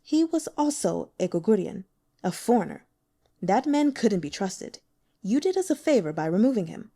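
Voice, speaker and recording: A woman plays a persuasive older man, an elder of a secret sect, coaxing and smooth. His lines are mellow, and the pitch moves up and down with animation. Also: very animated, moderate pace.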